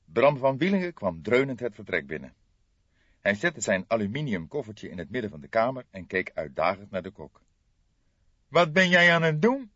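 A man's voice: on the low side, sing-song, a little fast, breathy edge, dry recording. A man reading a crime audiobook in a dramatic scripted narration style. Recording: slightly dull audio.

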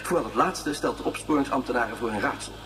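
An actor playing a brisk news reporter in a science fiction radio drama, reading urgently and emphatically. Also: male voice, medium pitch, far from the mic, natural voice. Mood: urgent, official. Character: brisk male news reporter on interplanetary bulletin.

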